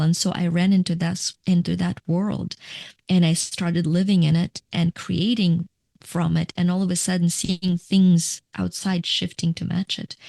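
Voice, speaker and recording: Low, gravelly middle-aged woman from Ukraine. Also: a little mellow, dry recording, studio quality. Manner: reflective, explanatory, with small restarts. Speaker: guest, coach. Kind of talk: interview answers.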